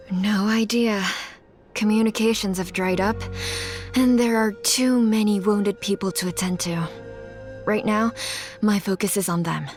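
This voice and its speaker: Steadfast wartime mother, music behind. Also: mid-range voice. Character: busy, steadfast mother tending the wounded in wartime. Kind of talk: video game voice acting.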